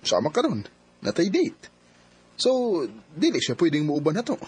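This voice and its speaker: A man's voice: on the high side, wildly swooping pitch, normal speed, darkish tone, husky, exaggerated voice. A man with a Cebuano accent acting a trusting, questioning young boyfriend who can turn suspicious, in a radio drama. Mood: casual. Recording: faint background noise.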